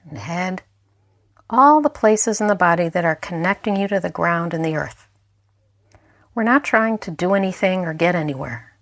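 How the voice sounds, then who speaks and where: A woman mindfulness instructor narrating a guided meditation in a gentle, unhurried way, leaving pauses between phrases. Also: fairly low pitch, no room sound, studio quality.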